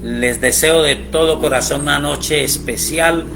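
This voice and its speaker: A man teaching a Bible program as a pastor, preaching slowly and emphatically, his voice rising on key points. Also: a little high, vocal fry, background noise.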